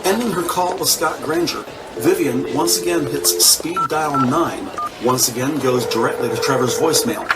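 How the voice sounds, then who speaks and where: A man's voice: medium pitch, normal speed, few pauses, heavy background noise. A man reading scripted dramatic narration for an audio drama.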